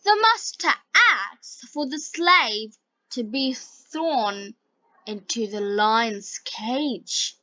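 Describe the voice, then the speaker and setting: A woman with a Bengali accent reading like an English teacher for learners, in short, deliberate, carefully enunciated phrases. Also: a little high, lively intonation, bright, forceful tone, dry recording, muffled recording. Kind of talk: slow scripted story reading and vocabulary lesson for learners.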